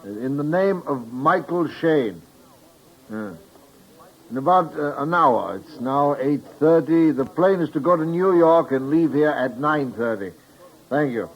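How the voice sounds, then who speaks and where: An actor playing a well-to-do, formal gentleman in a 1950s radio drama, speaking politely and in measured phrases. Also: fairly high pitch, animated, muffled recording, slight background noise. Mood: polite, businesslike. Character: unhurried, courteous, well-to-do gentleman client, man.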